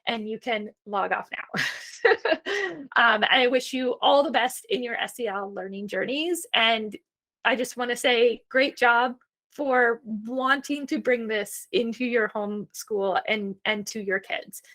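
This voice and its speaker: A woman's voice: a little high, unhurried, fairly bright tone. A woman presenting a homeschooling webinar in a reassuring, chatty way, with frequent fillers. She is starting to lose her voice. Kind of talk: informal instructional webinar talk.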